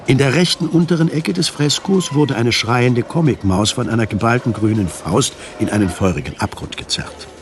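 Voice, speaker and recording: A man reading scripted narration for a radio drama, measured and articulate. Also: medium pitch, a little hiss or hum.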